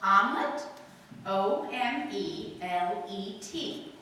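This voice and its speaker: A woman playing a proper, gently reproving society mother in an old-time radio drama. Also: medium pitch, expressive, takes it slowly, exaggerated voice. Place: live stage performance. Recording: echoey hall, distant mic, faint noise.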